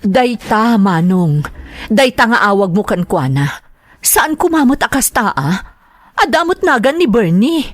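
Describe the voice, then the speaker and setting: A woman's voice: a little high, sing-song, a little slow, no echo. An actress with a Filipino accent playing a protective, anxious mother in a radio drama, pleading and emotional. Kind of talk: Ilocano radio-style drama acting.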